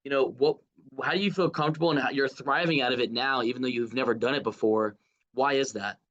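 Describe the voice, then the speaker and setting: A man's voice: medium pitch, fairly even intonation, fast, a bit bright, highs cut off. A man with a middle-range voice interviews as a sports reporter. His questions are chatty and informal, wandering a little before they land. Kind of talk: casual, conversational interview questions.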